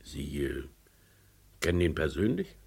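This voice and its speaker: An actor playing an authoritative, distrustful mine boss in a radio crime play, with a commanding, deliberate manner. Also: male voice, rumbling low, very animated, vocal fry, a little mellow, slightly rough, full character voice. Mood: sceptical, pressing.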